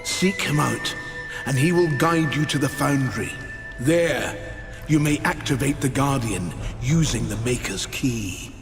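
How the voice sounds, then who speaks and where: Old craftsman elder, slow and solemn, reverberant space, game music behind. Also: mid-range voice, animated. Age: older. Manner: slow, solemn, measured speech. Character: old male Maker, weary and wise craftsman. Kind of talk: video game cutscene acting.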